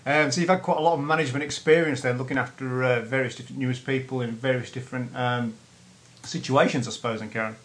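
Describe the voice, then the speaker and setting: A man's voice: mid-range voice, steady tone, fast talker, a bit bright. Chatty male interviewer, roomy sound. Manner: chatty, repeats words, many fillers. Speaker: podcast host. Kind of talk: casual interview. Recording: echoey room, faint noise, off-mic.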